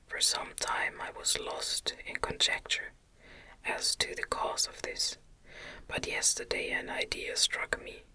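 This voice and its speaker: A woman reading a classic novel aloud in a gentle, soothing, breathy ASMR whisper, with measured phrasing. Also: poor audio. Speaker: audiobook narrator.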